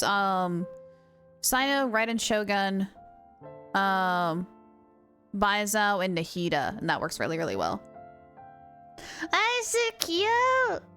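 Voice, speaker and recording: A woman livestreamer chatting casually over a game, with an excitable, gushing manner. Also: mid-range voice, slow, long pauses, soft background music. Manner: excitable, gushing, baby-talks to her dog. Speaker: live game streamer. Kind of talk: casual livestream chat while gaming. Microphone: streamer's mic, wearing headphones.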